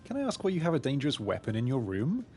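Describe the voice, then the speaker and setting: An actor playing an inquisitive pig detective in a video game, polite and probing. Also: male voice, medium pitch, huge pitch swings, quick pace, slight background noise. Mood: curious. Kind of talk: video game character acting. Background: loud music.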